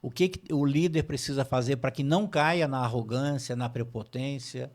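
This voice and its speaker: A middle-aged Brazilian man with a Brazilian Portuguese accent, hosting an interview and speaking in a thoughtful, measured way. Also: mid-range voice. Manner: thoughtful, measured, shares personal anecdotes. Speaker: host. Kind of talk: interview conversation.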